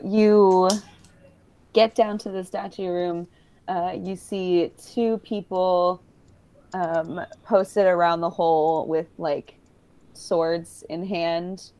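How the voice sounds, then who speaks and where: A woman improvising narration as game master of a tabletop game, pausing often between phrases. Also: lowish voice, dark tone, a little hiss or hum.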